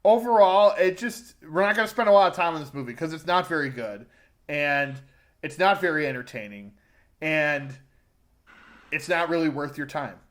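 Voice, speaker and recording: Guy doing a chatty movie review podcast, kinda higher pitched voice, has opinions. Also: normal speed, a bit bright. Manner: conversational, informal, opinionated. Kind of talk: casual film review chat.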